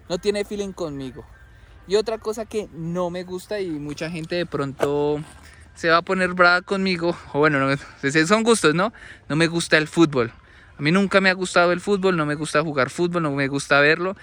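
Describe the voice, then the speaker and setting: A man's voice: fairly high pitch, normal speed, fairly bright tone, soft background music. A young man with a Colombian accent, talking casually to camera in a rambling, chatty way that keeps correcting itself, recorded outdoors in a city. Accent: Colombian Spanish. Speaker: vlogger.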